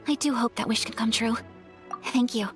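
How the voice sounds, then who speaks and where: A woman voicing a gracious young noblewoman in a video game, speaking politely and gently with formal, earnest phrasing. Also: on the high side.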